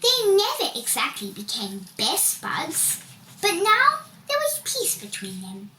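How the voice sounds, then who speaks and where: Female story reader, very lively intonation, unhurried, recorded from a distance with faint noise. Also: extremely high pitch, reverberant. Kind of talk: scripted children's storybook narration.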